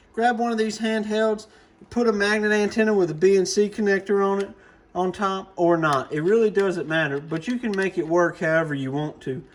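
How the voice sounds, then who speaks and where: A man giving a casual, folksy, plain-spoken product talk to camera as a hobbyist presenter. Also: sits high.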